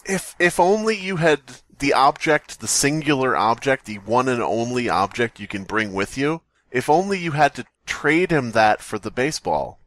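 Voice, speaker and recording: A man's voice: mid-range voice, animated, moderate pace, hardly any pauses, fairly bright tone. A man co-hosting a podcast with casual comedic critique, wry and self-deprecating. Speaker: podcast co-host.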